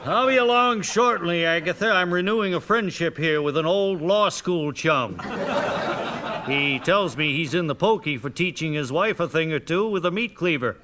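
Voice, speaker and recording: A blandly courteous male family lawyer, put on by a radio comedian in a soap opera spoof, unhurried and apologetic. The voice sits slightly high on an old radio recording. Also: animated, noisy background, lightly acted. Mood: casual, unbothered.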